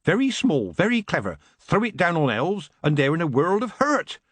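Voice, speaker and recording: A man's voice: on the high side, sing-song, moderate pace, a bit bright, breathy edge, no room sound, voice pushed up, full character voice. A man voicing a chatty, knowing old woman witch who speaks in an approving, emphatic way.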